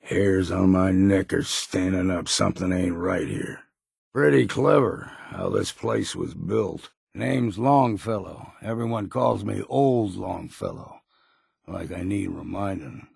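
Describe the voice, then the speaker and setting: Very gravelly old backwoods hunter. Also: male voice, sits low, slow, darkish tone, no echo, studio quality, heavily acted. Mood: folksy, plainspoken. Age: older. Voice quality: gravelly, raspy. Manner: folksy, dropped endings, laconic. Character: gruff old hard-drinking male hunter and fog guide. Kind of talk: video game character acting.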